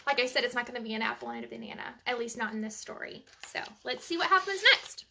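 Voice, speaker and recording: A woman reading a bedtime story aloud to children in an animated, chatty way, pausing to ask the listeners questions. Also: fairly high pitch, gravelly, a little hiss or hum.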